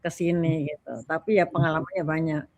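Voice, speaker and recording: A woman's voice: low voice, steady tone, fairly quick. A woman in her sixties with an Indonesian accent, speaking as a talk guest in a casual, chatty, colloquial way. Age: 60s. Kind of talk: casual chat.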